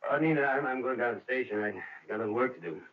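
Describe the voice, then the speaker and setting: A man playing a bitter, harried small businessman in an old radio drama. His voice is rough and husky, and he talks bluntly, stumbling when pressed. The recording is muffled. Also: medium pitch, very fast, natural voice. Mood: evasive. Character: bitter, harried adult son, a struggling service station owner. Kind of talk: vintage radio drama acting.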